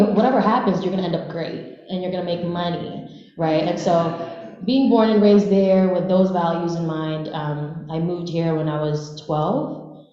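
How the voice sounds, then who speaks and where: A young woman from the Philippines, whose first language is Bisaya, shares her story in a casual way. Her voice is relaxed and on the low side, heard through heavy room echo. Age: 20s. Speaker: panelist, dancer. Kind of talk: casual personal storytelling at a panel. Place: large echoey room at a live panel event. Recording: very echoey hall, slightly muffled.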